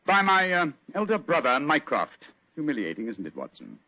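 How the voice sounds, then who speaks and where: An actor playing a superior, brilliant English gentleman detective with a British accent and an assured, condescending manner in an old radio drama. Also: on the high side, wildly swooping pitch, normal speed. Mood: assured, explaining. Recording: tinny narrow-band old radio recording.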